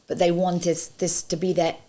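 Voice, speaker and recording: A woman, a former pantomime performer, looking back wryly in a lively, candid way. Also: on the low side, normal speed. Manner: wry, animated storytelling. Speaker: former panto cast member. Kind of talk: candid interview.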